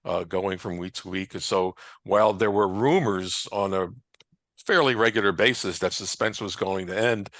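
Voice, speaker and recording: A man's voice: low-pitched, normal speed, a bit bright, dry recording. A man chatting casually and agreeably as a guest researcher on a panel, with hesitant phrasing. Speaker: guest old-time radio researcher. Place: online convention video call.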